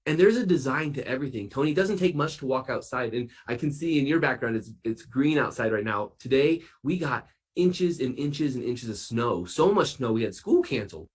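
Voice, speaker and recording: A man playing an excitable, wonder-filled science doctor for children, gushing and emphatic. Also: mid-range voice, brisk, husky. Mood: awestruck, excited. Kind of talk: kids' science show character.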